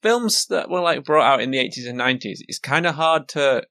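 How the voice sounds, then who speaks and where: A man's voice: fairly high pitch, expressive, brisk, bright, forceful tone. A man co-hosting a podcast, easygoing and sharing film trivia in casual chat. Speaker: podcast co-host.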